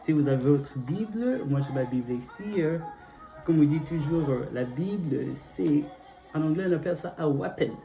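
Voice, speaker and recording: A young man who preaches as a pastor in French, his second language, with an English-speaking accent, speaking haltingly with many fillers and repeated invocations during prayer and scripture reading. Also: a little high, animated, creaky, warm tone, soft background music. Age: young adult. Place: church.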